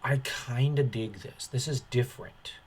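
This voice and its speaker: A man speaks at a middling pitch in the loose style of an online commentator. He rambles and halts as he works through his opinions. His manner is dismissive and dryly sarcastic. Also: unhurried, a little hiss or hum. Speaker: commentary channel host.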